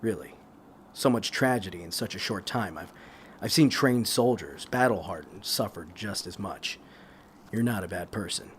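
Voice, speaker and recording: A man's voice: on the low side, animated, natural voice. Actor as soothing male investigator, background noise. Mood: reassuring. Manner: measured, soothing, polite. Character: gentle, persistent male government investigator, calmly probing. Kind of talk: naturalistic audio drama acting.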